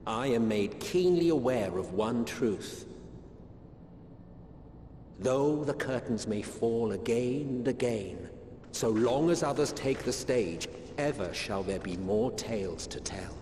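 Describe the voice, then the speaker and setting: A man's voice: mid-range voice, lively intonation, slow, warm tone, roomy sound, long reverb tail, a little hiss or hum. An actor playing a wise male chronicler who narrates the close of a tale in a theatrical storyteller manner. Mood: reflective. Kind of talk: game cutscene narration.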